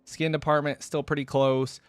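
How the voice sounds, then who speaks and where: A man's voice: a little high, little pitch movement, moderate pace, clean tone, no echo. A man chatting casually and informally to his viewers as a reaction video host, rambling conversationally as he goes. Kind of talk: casual unscripted chat to viewers.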